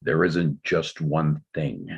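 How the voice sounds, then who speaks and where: A man playing a reserved, defensive older father in a drama table read, explaining himself in a measured way. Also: very deep voice, steady tone, slightly rough, full character voice. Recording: dull, slightly muffled microphone sound.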